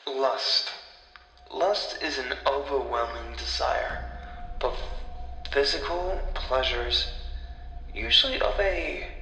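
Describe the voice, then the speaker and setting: Echoey, slow male horror storyteller. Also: mid-range voice, sharp tone, a little hiss or hum. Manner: deliberate, dramatic delivery with pauses. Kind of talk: scripted horror narration. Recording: echoey, distant-sounding voice over music. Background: music.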